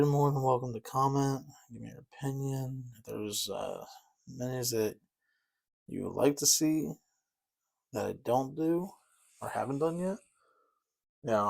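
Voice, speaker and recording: A man's voice: mid-range voice, vocal fry, darkish tone. A man chatting casually and rambling a bit as he paints miniatures, easygoing and self-deprecating. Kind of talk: casual chat while painting.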